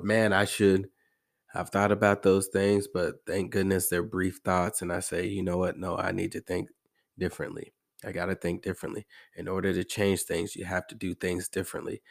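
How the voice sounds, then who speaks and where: An American man hosting a podcast, talking casually and repeating phrases for emphasis, with a congested, slightly hoarse voice from allergies. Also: sits low. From American Midwest. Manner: conversational, emphatic repetition. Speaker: podcast host. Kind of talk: casual motivational monologue.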